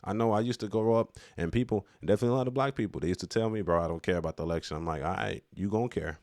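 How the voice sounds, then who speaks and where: Deep-voiced man giving slangy commentary. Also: rapid-fire, darkish tone, no room sound, clean studio sound. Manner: slangy, street-casual, repeats phrases for emphasis. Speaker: commentator on current affairs. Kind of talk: casual unscripted opinion commentary.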